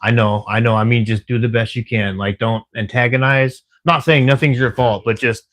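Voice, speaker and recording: A man's voice: mid-range voice, fairly even intonation, normal speed, fairly bright tone. A man casually chatting on a livestream, reading viewer comments in a friendly, rambling way with plenty of fillers. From Texas, USA. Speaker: livestreamer working a camera security shift.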